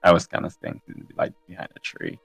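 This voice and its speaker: A man's voice: fairly quick, a bit bright, no echo. A man talking casually as a player in a tabletop game about what his character does. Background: music.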